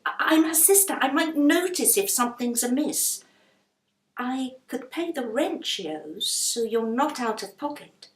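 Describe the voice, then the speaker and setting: A woman's voice: sits high, lively intonation, a little slow, roomy sound, distant mic, voice pushed up. A woman voicing an anxious, determined young girl with earnest questions in a children's storybook. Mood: pleading.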